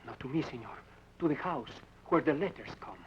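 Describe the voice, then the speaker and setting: An actor playing a cowed Mexican henchman, making whining, halting excuses in broken, accented English for a radio drama. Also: medium pitch, animated, close to an everyday voice. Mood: nervous, pleading. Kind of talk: old-time radio drama acting. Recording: muffled, noisy old radio recording.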